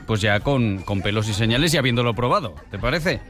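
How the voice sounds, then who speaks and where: A man's voice: medium pitch, animated, brisk, fairly bright tone. A man hosting a radio show in casual chat, joking and laughing often. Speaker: radio host.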